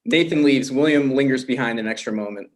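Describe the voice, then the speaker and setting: A man reading out stage directions at a table read in a plain, even way. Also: medium pitch, fairly quick.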